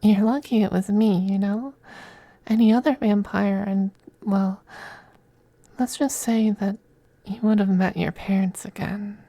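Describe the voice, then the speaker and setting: A man playing a possessive, teasing femboy vampire in an intimate ASMR roleplay, speaking softly and breathily, often in a whisper, with coaxing, condescending affection. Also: high voice, steady tone, a little slow, no echo. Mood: menacing, wistful. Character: possessive, teasing, doting femboy vampire master styled as mistress.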